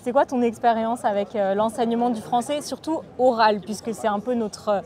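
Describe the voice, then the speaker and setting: Chatty thirty-year-old host from Bordeaux. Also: female voice, fairly high pitch, normal speed, a little mellow. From Bordeaux, France. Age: 30s. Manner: friendly, chatty, with frequent fillers. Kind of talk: casual conversational interview.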